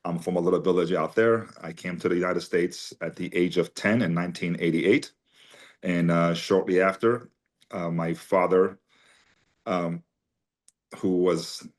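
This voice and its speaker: A man in his forties from Armenia, speaking as a guest in an interview and telling long personal stories in a chatty, conversational way. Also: sits low. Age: 40s. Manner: chatty anecdotal storyteller, many fillers. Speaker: guest, company CEO.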